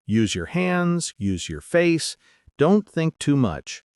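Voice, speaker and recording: Slow, lively-voiced man teaching English. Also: a little high, dry recording, studio quality. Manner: upbeat, clear, slow and deliberate for repetition. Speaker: podcast host and English teacher. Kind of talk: scripted English lesson.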